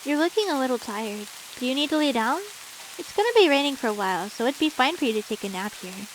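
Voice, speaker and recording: Woman acting a high-voiced, teasing, doting anime champion. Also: expressive, fast talker, a little hiss or hum, poor audio. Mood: coy, doting.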